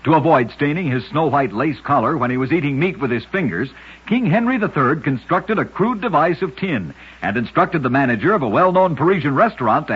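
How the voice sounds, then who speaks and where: Husky radio storyteller, lively pitch. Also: male voice. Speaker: radio show narrator. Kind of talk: scripted radio storytelling narration of short oddities. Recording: muffled vintage-style radio recording.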